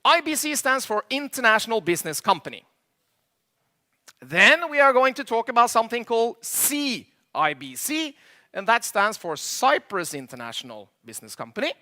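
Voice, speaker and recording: Norwegian-accented man, middle-aged, high and bright, joking stage speaker. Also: big pitch swings, moderate pace. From Norway. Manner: jokey, quizzes the audience, slightly imperfect English. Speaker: conference speaker. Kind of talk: stage presentation with audience banter. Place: conference stage before a live audience.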